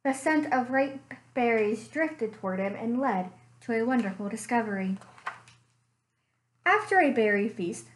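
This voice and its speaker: Young woman, librarian, storytime reading, slow pace, fairly high voice, webcam in a living room. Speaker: children's librarian. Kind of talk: reading picture books aloud.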